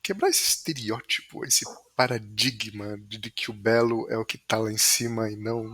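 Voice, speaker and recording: A man's voice: lowish voice, normal speed, a bit bright, no echo. A man hosting an interview, asking long enthusiastic questions. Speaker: podcast host.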